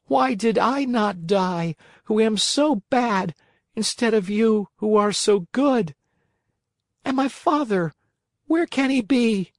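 A woman's voice: fairly high pitch, lively intonation, takes it slowly, no room sound, studio quality, voice pushed up. A woman voicing a naive wooden puppet boy in a storybook, pleading and melodramatic with a wailing manner. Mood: sobbing, despairing. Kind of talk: storybook character reading.

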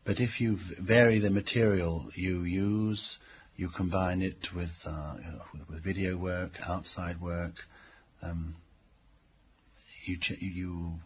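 A middle-aged man giving reflective interview answers as a teacher, speaking haltingly with frequent pauses. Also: low-pitched, fairly even intonation. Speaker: English language teacher being interviewed. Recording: dull lo-fi tape, faint background noise.

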